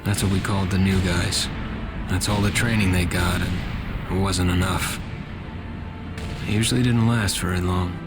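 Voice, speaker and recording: Man as a low, somber Marine veteran narrator. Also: flat delivery, normal speed, pauses often, natural voice. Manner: grave, reflective. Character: somber, world-weary Marine veteran narrating his war. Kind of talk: scripted game cutscene narration. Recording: loud music under the voice.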